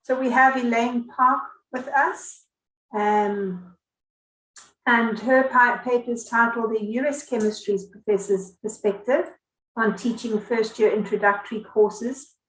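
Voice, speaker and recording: A South African woman chairing an online academic session, speaking politely and hesitantly. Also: mid-range voice. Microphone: video call. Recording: echoey room, faint background noise.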